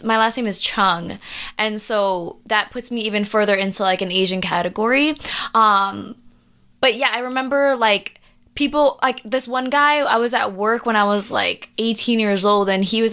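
A young adult woman from Jamaica in casual chat, animated and emphatic, often checking in with her listener. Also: medium pitch, normal speed. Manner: animated, emphatic, keeps asking "do you know what I'm saying?".

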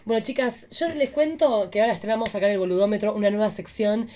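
A woman's voice: medium pitch, quick pace, a little mellow, a little hiss or hum. An Argentine woman hosts a podcast in a loose, conversational way. Her voice sits in a medium female register, and she keeps the talk casual and comic. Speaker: podcast host. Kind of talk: casual comedic chat.

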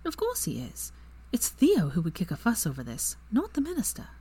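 A woman voicing a bright, eager young witch in a higher, lively voice that often drops to a hushed whisper. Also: normal speed, slightly rough, full character voice. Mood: affectionate.

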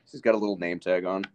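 A man co-hosting a relaxed podcast chat, his voice sitting a little low. He keeps things laid-back and drops jokes with a dry touch. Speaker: podcast co-host. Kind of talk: casual chat.

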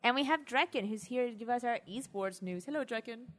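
A woman hosts a casual podcast, welcoming her guests with light, teasing banter. Her voice sits a little high, and her tone stays playful and easygoing. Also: a little fast. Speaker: podcast host.

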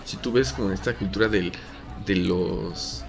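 A man's voice: deep voice, unhurried, music in the background. A man with a Mexican accent co-hosting a casual podcast chat, slangy and joking, rambling with restarts. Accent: Mexican Spanish. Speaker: podcast co-host.

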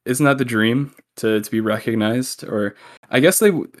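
A man's voice: mid-range voice, moderate pace. A young man, a guest on a podcast, joking and telling stories in casual chat while recording remotely over a call. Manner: chatty storyteller, joking.

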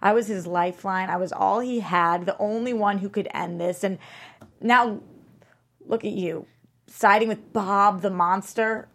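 A woman playing a fierce, wounded young woman in a dramatic table read, forceful, emotional and accusing. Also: mid-range voice, unhurried. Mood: accusing, hurt.

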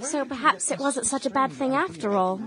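High-voiced spirited young Victorian lady. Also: a little fast, crowd noise. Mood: happy. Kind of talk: period radio drama acting.

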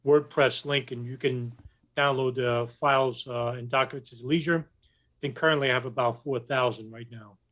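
Man, podcast guest, measured, hesitant, frequent uh pauses, middle pitch. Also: a bit bright. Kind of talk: casual podcast interview.

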